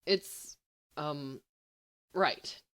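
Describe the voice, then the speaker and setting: A woman's voice: low-pitched, drawn-out words, fairly bright tone, voice pushed down, heavily acted. A woman reading the part of a lovesick, self-doubting teenage boy in a storybook, earnest and hesitant. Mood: defeated, earnest.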